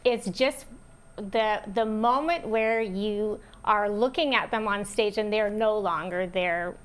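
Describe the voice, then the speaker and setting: A woman's voice: medium pitch, slow, clean tone. A woman speaking as a theatre director in a casual interview.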